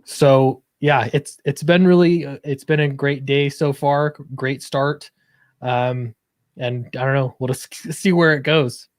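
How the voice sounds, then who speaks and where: A man's voice: medium pitch, fairly even intonation, unhurried, dry recording, studio quality. A man chatting casually as an interview guest, upbeat but a little hesitant. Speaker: guest comic book creator.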